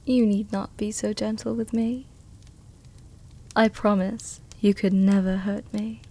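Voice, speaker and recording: Woman acting a slow, seductive vampire countess. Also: mid-range voice, little pitch movement, dark tone. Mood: tender, intimate. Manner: slow, intimate, formal diction with breathy whispers. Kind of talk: soft-dom roleplay ASMR.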